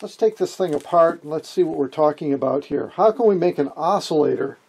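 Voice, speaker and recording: An older man hosts an informal hobby electronics lesson. His voice is husky and sits a little high for a man. He explains things in a folksy, wry way. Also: brisk, a little mellow. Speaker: amateur radio hobbyist, video host. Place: small wood-panelled room indoors.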